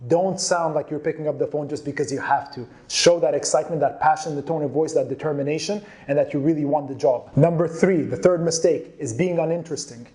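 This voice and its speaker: Male presenter, slightly high voice, soft mellow tone, instructional delivery, emphatic key phrases. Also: fairly even intonation, a little fast, hardly any pauses. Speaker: career advice presenter. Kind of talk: presenting instructional tips to camera.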